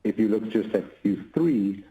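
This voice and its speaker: A man speaking as a chief financial officer on an earnings call, measured and methodical, laying out points one by one. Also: on the low side, animated, narrow, tinny audio, a little hiss or hum. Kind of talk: corporate earnings call explanation.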